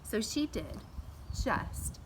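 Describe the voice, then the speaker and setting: A middle-aged American woman reading a children's picture book aloud outdoors, expressively drawing out words and adding asides for young listeners. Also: extremely slow. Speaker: teacher reading aloud to pupils. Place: outdoors in a backyard patio. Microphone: camera a few feet away. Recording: faint outdoor background noise.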